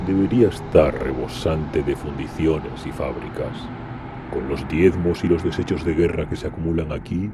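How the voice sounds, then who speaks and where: A man playing a scarred, ambitious Iron Warrior, speaking in a low, measured, bitter and commanding way, in a fan audio drama. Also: noisy background. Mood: determined.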